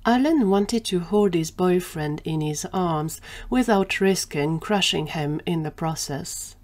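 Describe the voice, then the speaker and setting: Slightly low-voiced woman narrator. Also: measured pace, a little mellow. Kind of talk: scripted story narration.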